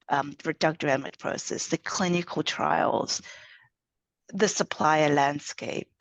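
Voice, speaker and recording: A middle-aged woman with an Australian accent, speaking in a measured, articulate way in a panel talk about her corporate role. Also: deep voice, gravelly, no echo, rough recording. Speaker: head of diversity, equity and inclusion.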